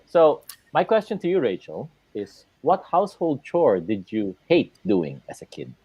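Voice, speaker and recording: A co-host joking with the guest and asking playful questions on a video call. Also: male voice, mid-range voice, wildly swooping pitch, measured pace, breathy edge, no room sound, lo-fi sound. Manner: jokey, playful banter. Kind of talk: casual interview chat.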